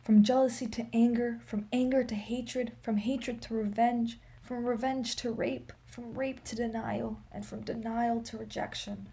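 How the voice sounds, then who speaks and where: High-voiced, wry elderly woman. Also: steady tone, measured pace, vocal fry, few pauses, dark tone. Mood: sombre, resolute. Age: older. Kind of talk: radio play acting.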